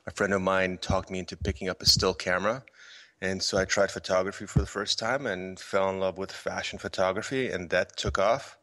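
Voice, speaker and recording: Middle-aged guy with a low bright voice, rambly, lots of uhs and ums. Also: fairly even intonation, fairly quick. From Poland. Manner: rambling, with many fillers and restarts. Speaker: podcast guest, filmmaker and photographer. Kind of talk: relaxed conversational interview.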